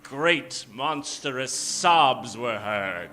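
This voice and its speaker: A man's voice: medium pitch, lively intonation, drawn-out words, music in the background. A man narrating and announcing an improvised old-time radio comedy in a theatrical, dramatic way.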